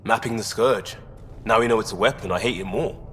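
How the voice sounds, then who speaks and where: Man voicing a low, terse ship crewman. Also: a little fast, a bit bright, close to an everyday voice. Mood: grim. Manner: terse, clipped. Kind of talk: video game dialogue acting. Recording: long reverb with music underneath.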